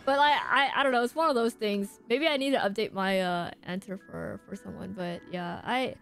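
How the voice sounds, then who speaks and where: A woman game streamer giving casual live commentary to chat in informal, halting, slangy speech. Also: mid-range voice, gravelly, a bit bright. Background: game music.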